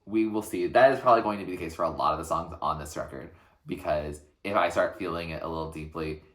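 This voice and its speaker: A man giving casual reaction commentary as a music reaction host, speaking in a reflective, hesitant, halting way in an echoey room. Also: very deep voice, a bit bright.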